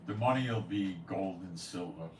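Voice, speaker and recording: Man, very low voice, blunt and opinionated, rambling casual talk, distant from the mic, faint background noise. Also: moderate pace, a little mellow. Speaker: host of a prophecy commentary channel. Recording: echoey room, far from the mic, faint noise.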